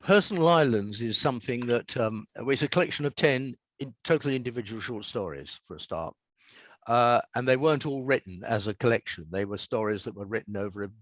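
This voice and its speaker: An older man with an English accent, talking reflectively and reading aloud as an author in a measured way. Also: mid-range voice, no echo. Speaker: author and radio scriptwriter. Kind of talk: relaxed talk and reading aloud from own story.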